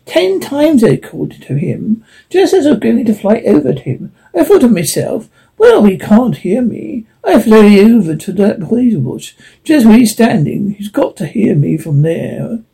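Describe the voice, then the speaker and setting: A man's voice: very high voice, steady tone, slow pace, hardly any pauses, dark tone, husky, pitched above the performer's own voice, exaggerated voice, rough recording. A man voicing a chatty magpie who laughs as she talks, in a storybook reading. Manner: chattering, amused.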